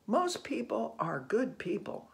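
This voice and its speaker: An older person reading a picture book aloud to children, gently and unhurriedly, with musing asides. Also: medium pitch, big pitch swings, gravelly. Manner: gentle, unhurried, musing with soft hmms and ohs. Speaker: children's read-aloud host. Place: small room indoors at home.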